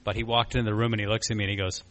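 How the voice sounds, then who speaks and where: A man's voice: on the low side, little pitch movement, rapid-fire, fairly bright tone. A man in his mid-forties giving informal personal testimony in a candid, self-deprecating, rambling storytelling way. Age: mid-40s. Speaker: recovery meeting speaker sharing a personal story.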